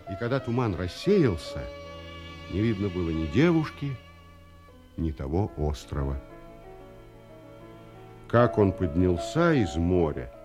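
A man's voice: low voice, exaggerated voice. An actor playing a fatherly seafarer who tells an old sea legend, theatrical, in an audio drama. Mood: hushed, dramatic.

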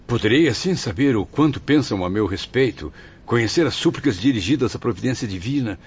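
Emotional, bewildered Brazilian viscount, courteous and theatrical, animated pitch, lo-fi radio-play sound. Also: male voice, a little fast, breathy edge. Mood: amazed, hopeful. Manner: theatrical, formal, plaintive. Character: emotional, courteous, bewildered male viscount, newly dead spirit. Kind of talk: Brazilian radio drama acting. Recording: dull lo-fi radio drama audio with music.

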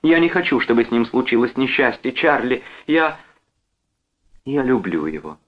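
A man's voice: mid-range voice, big pitch swings, moderate pace, dull audio, voice pushed up. A man voicing an anxious, protective young wife with a pleading, whining, agitated delivery in an audiobook. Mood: desperate insistence.

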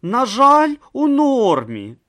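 A man voicing a slow-thinking, timid young male priest in a storybook reading. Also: very high-pitched, wildly swooping pitch, fairly bright tone, voice pushed up, exaggerated voice.

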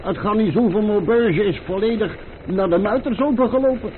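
An actor playing a frightened, deferential French innkeeper with a stammering delivery in a historical radio play. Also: male voice, high voice, moderate pace, natural voice. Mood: alarmed. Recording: tinny, band-limited old radio drama with noise.

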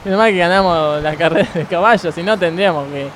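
A high-pitched Argentine slacker of an ambulance driver played by a man, grumbling and weary in a comic radio sketch. He rambles in slang and repeats himself over loud vehicle noise. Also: quick pace. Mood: casual, rambling.

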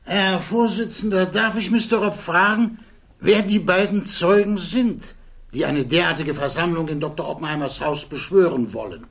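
An actor playing a firm, challenging defence lawyer in a radio drama, formal and assertive, with a gravelly voice. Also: male voice, sits high, moderate pace, a bit bright. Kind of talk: 1960s German radio drama acting.

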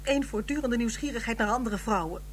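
A woman's voice: fairly high pitch, fairly quick, slight background noise, close to an everyday voice. An actress playing a proud, bitter widow in her fifties, curt and formal, in a radio drama. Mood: bitter, agitated. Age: 50s.

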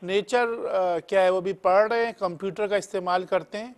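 A middle-aged male hakeem hosts a call-in health show. His voice sits high for a man, and he fires off short routine questions in a brisk way. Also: steady tone, clean tone. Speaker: hakeem host answering callers.

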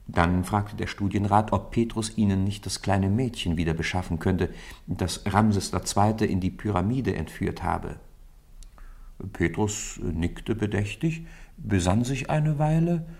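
A man narrating a radio play in a calm, even storyteller's delivery. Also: fairly low pitch, creaky, husky.

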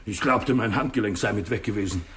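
A man acts a dutiful small-town policeman in an old radio play. He talks quickly in a low voice, plainly and eagerly, as if reporting to his superior. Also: a bit bright, a little hiss or hum, lightly acted. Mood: animated recounting. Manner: plain-spoken, keen to tell. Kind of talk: 1960s German radio drama acting.

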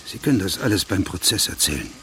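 An actor playing a stern, resolute male magistrate in a German radio drama, speaking in a measured, accusing and authoritative manner. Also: deep voice, expressive, sharp tone, a little hiss or hum. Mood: firm, commanding.

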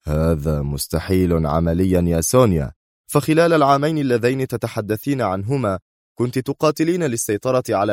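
A man's voice: on the low side, darkish tone, no echo, clean studio sound, lightly acted. A man playing a tense, mocking, hot-tempered male spy rival in an audiobook thriller. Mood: incredulous.

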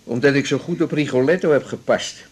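An actor playing a blunt, chatty, gruffly generous male patient with colloquial joviality in a naturalistic radio drama. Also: mid-range voice, a little fast, lightly acted. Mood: grumbling.

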